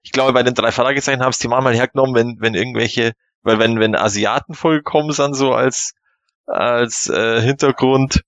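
Halting man chatting, dry close sound. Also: mid-range voice, fairly even intonation, a bit bright, no room sound, lo-fi sound. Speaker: podcast panelist. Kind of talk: casual enthusiastic fan chat.

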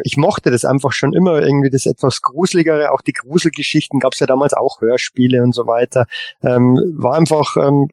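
A man co-hosting a casual fan podcast, rambling and halting but enthusiastic. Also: medium pitch, darkish tone, no echo. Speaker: podcast co-host. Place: remote online call.

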